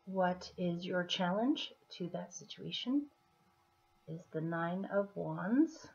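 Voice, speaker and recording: A woman with a slightly hoarse, scratchy throat, giving a casual tarot reading in an unhurried, deliberate manner with pauses as she explains the cards. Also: fairly low pitch, darkish tone. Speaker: tarot reader. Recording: dull, muffled lo-fi audio.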